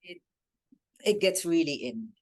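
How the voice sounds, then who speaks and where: Soft-spoken woman with an Egyptian accent. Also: lowish voice, expressive, measured pace. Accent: Egyptian Arabic. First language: Arabic. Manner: soft-spoken, searching for words, repeats herself. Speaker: guest. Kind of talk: reflective interview answers.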